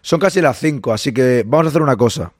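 A man with a Spain Spanish accent hosting a casual livestream, a bit hoarse, chatting with viewers and greeting them by name. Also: medium pitch, fast talker, dry recording, clean studio sound. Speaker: livestream host. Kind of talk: casual live chat with viewers.

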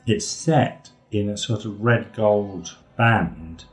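A man's voice: lowish voice, slow. A middle-aged man hosting a casual chat, describing pieces with enthusiasm. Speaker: host.

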